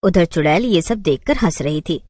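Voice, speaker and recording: A woman's voice: lowish voice, animated, moderate pace, darkish tone. A woman storyteller reading scripted narration for a children's cartoon tale.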